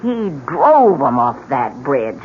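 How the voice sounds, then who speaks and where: A woman's voice: a bass voice, very animated, slow pace, exaggerated voice. A woman playing a bitter, needling old widow who tells ominous tales in an old-time radio drama. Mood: ominous, dramatic. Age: older. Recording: muffled, noisy vintage radio recording.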